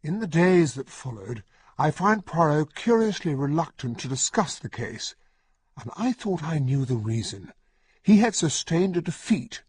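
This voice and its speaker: An actor playing an earnest, well-spoken English gentleman, a detective's loyal companion, with a husky, breathy British voice, in a radio drama. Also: fairly high pitch, big pitch swings, a little slow, full character voice. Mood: earnest, reflective. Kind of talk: radio drama acting and first-person narration.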